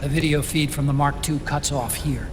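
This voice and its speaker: A man's voice: a little high, steady tone, normal speed, lightly acted. Man playing earnest, brainy tech expert. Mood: intent explaining. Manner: explanatory, earnest. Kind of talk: dramatic video game cutscene acting. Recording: noisy background ambience.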